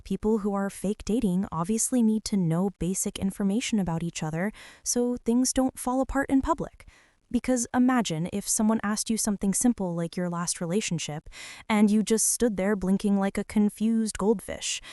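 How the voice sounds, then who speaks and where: A woman's voice: medium pitch, mellow, dry recording, clean studio sound, close to an everyday voice. A young woman playing a bossy, defensive college girl with a secret crush, rambling and teasing straight to the listener in an intimate girlfriend ASMR roleplay. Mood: insistent, flustered.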